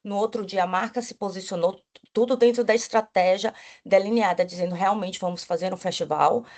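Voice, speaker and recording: A woman's voice: mid-range voice, brisk, a little mellow. Woman speaking on a panel. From Bahia, Brazil. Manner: enthusiastic, with frequent restarts and "né?" tags. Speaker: panelist, agency executive. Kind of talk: panel talk.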